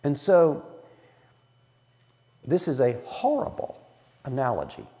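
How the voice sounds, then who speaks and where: A man's voice: mid-range voice, huge pitch swings, takes it slowly, warm tone, in a large hall. A man leading a church Bible study in a folksy way, asking the room questions as he teaches. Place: church hall.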